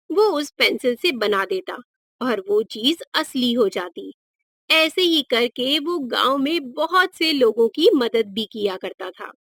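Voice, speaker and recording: A woman narrates a children's moral tale at a very unhurried pace. Her voice is fairly high, and the recording is dry and close. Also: fairly bright tone, no echo.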